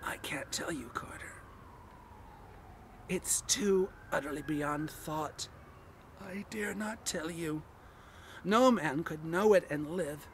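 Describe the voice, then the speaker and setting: A doomed man in mortal terror, acted out by a male reader, hoarse and quivering. He is desperate and urgent, as in a horror tale, with audible noise on the amateur recording. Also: a little high, slow, long pauses. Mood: horrified whisper. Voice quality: hoarse, breathy, quivering, often whispering or screaming. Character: doomed adult man, terrified yet self-sacrificing, calling from below. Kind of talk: dramatic horror reading.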